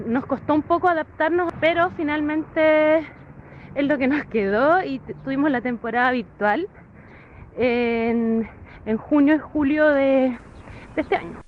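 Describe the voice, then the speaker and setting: A muffled recording with faint background noise carries the high voice of a woman. She gives an informal personal account. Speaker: guest dance-theatre performer.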